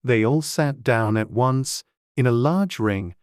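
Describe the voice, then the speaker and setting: A man narrating a classic children's story in a gentle, even storytelling manner. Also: medium pitch, slow, no echo, clean studio sound.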